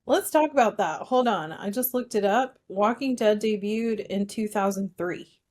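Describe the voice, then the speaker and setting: A woman's voice: medium pitch, normal speed, a little mellow. A woman co-hosting a casual film discussion, explaining things with playful banter. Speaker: podcast co-host.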